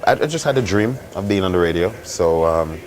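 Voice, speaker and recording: A Jamaican man with a very deep voice who speaks quickly. He chats easily and casually, like a radio host sitting in as a guest. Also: expressive. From Westmoreland, Jamaica. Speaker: radio morning show host, interview guest. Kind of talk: casual interview talk.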